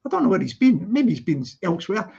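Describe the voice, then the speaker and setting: A man's voice: sits high, very animated, quick pace, creaky, a little mellow, husky. A Scottish man hosting a casual live stream, chatting away to his viewers and greeting each one in a repetitive sing-song. His talk is loose and rambling, broken by easy laughter. The stream audio sounds slightly dull and lo-fi. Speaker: livestream host. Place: living in London.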